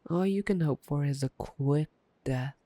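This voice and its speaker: A man playing a taunting, playful, predatory vampire in an intimate ASMR roleplay, teasing and drawling with soft menace. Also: on the high side, a little slow, close to an everyday voice. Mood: resigned, taunting.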